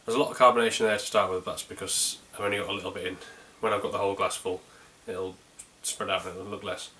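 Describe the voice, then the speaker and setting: A man's voice: low voice, little pitch movement, fast talker, bright, forceful tone, slight background noise. A man with a North Yorkshire accent chatting casually through a beer review, rambling a little with plenty of ums and restarts. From North Yorkshire, England.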